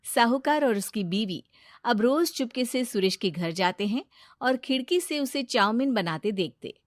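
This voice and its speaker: A woman narrating a moral cartoon story in a steady, matter-of-fact way. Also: a little high, moderate pace, no room sound, studio quality.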